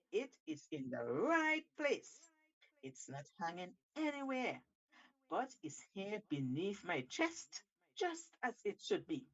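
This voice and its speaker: A woman's voice: on the low side, dull audio, heavily acted. A woman playing a crafty, cheeky male monkey in a told story, with an emphatic, theatrical and taunting delivery.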